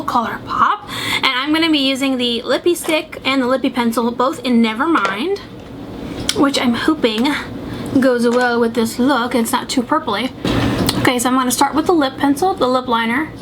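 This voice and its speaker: A young woman vlogger chatting through her makeup routine, sniffly and congested, rambling and second-guessing herself as she talks. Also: high-pitched. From American South. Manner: rambling and self-doubting, full of fillers. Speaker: beauty vlogger. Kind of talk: chatty get-ready-with-me makeup tutorial. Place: home, at a makeup table. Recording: noisy home recording.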